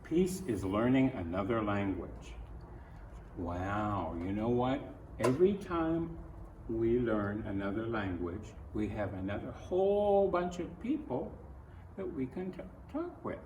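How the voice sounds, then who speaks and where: A man's voice: on the low side, expressive, a little slow, dull audio. An older man reading a children's picture book aloud, with folksy, grandfatherly asides spoken directly to young listeners. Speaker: storybook reader. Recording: roomy echo, distant mic, noisy background.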